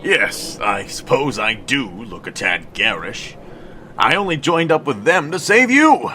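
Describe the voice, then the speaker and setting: An actor playing a proud, haughty half-human undersea king in an audio drama, formal and forceful. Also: medium pitch, sing-song, measured pace, slight background noise. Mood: warm, proud. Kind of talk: cinematic audio drama acting.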